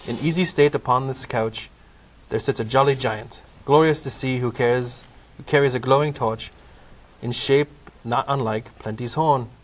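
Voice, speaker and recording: A man's voice: mid-range voice, normal speed. A man narrating a comedic Christmas audio play from a script, in storybook style. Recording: clearly noisy amateur recording.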